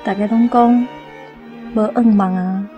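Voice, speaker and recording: A woman's voice: medium pitch, steady tone, unhurried, dark tone, faint music behind. A woman with a Taiwanese accent giving dramatic first-person narration in a radio drama.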